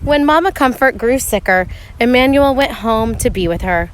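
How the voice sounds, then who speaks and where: A woman narrating a children's storybook aloud, expressively, with measured phrasing and dramatic pauses for emphasis. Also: on the high side, animated, slight background noise. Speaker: teacher reading a picture book aloud.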